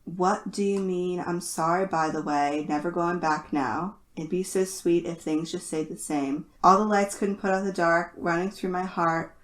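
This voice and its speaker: A woman's voice: on the low side, fairly even intonation, moderate pace, few pauses. A woman co-hosting a podcast in a casual, playful, self-deprecating way, recorded with a roomy echo. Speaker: podcast co-host. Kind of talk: casual chat and reading lyrics aloud. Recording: roomy, echoey sound.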